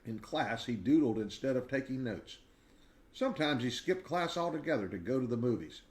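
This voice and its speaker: Male storybook reader, mid-range voice, lively swooping intonation, expressive delivery with deliberate dramatic pauses. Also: medium pitch. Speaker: reader of a children's biography. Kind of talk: expressive storybook read-aloud.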